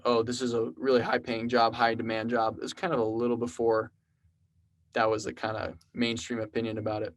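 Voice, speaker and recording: Relaxed young Texan man, rambling. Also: medium pitch. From South Texas. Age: 20s. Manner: relaxed, rambling storyteller with many fillers. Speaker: podcast guest, programmer and musician. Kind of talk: casual conversational interview.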